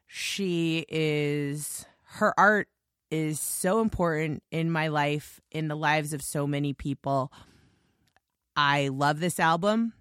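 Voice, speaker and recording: Hoarse, scratchy-voiced woman podcast host. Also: sits low, slow pace, fairly bright tone, no echo, clean studio sound. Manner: gushing, rambling with restarts. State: hoarse, lost voice. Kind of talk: casual podcast monologue.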